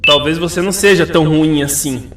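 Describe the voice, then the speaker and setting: A man voicing a gleeful, fickle game creature with an excitable, giggly manner. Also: on the high side, quick pace, lightly acted. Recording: echoey, slightly distant.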